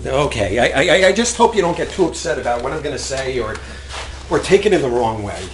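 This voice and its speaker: Man acting a jittery, animated husband on stage. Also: mid-range voice, close to an everyday voice. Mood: anxious, earnest. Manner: hesitant, stammering, halting. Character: nervous, evasive longtime husband hiding a secret life. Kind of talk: stage acting in a one-act comedy play. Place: indoor theater stage, recorded live. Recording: roomy live theater recording, faint noise.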